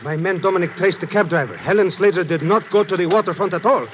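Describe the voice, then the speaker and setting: A man as a formal, high-voiced police lieutenant. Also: fast talker, natural voice. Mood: grave. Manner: formal, precise, clipped orders. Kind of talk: 1950s radio acting. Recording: muffled, noisy old radio recording.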